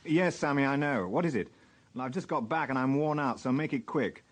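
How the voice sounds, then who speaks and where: A man's voice: mid-range voice, expressive, brisk, dry recording, lightly acted. An actor playing a weary, dry-witted male police inspector in a radio drama, wry and understated. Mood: weary, curt. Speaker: narrator and lead actor. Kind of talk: radio drama acting and first-person narration.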